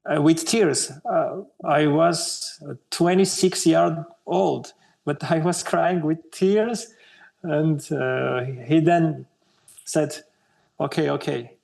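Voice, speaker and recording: A man in his thirties from Armenia, speaking English as a second language with an Armenian accent, slowly searching for words with many hesitations as an interview guest. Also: fairly high pitch, creaky, darkish tone. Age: 30s. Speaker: guest artist. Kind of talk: interview conversation.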